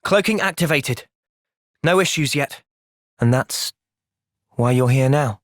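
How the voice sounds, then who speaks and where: A man voicing a thoughtful, loyal young hero in a video game, with an earnest, measured delivery. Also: medium pitch, animated, no echo, studio quality. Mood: calm, resolute. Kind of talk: video game cutscene voice acting.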